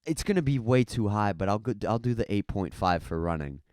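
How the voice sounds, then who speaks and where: Young male co-host, low voice that swings around, deadpan teasing, dry close mic. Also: a little fast, dry recording. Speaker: podcast co-host. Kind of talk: casual chat.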